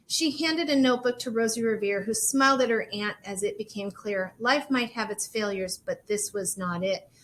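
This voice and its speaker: High-voiced woman reading a children's storybook. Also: normal speed, hardly any pauses, a bit bright, slight background noise, rough recording. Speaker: elementary school teacher reading aloud. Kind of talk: expressive children's storybook read-aloud.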